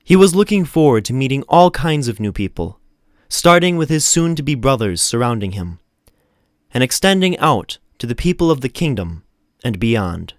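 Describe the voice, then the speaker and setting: Animated male storybook narrator. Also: mid-range voice, normal speed, dry recording, clean studio sound. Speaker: audiobook narrator.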